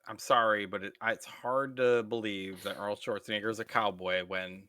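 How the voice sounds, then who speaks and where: A man's voice: on the low side, steady tone, brisk, pushed and bright, dry recording, studio quality. A man co-hosting a casual podcast, joking crudely and summing up a movie. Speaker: podcast co-host.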